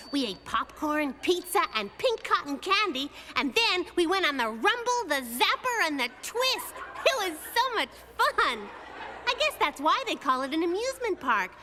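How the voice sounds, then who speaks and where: A woman voicing a quick-witted, sassy young girl in a children's cartoon, speaking in a wry, expressive way. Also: very high voice, slight background noise, heavily acted. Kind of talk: animated children's cartoon acting. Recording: music under the dialogue.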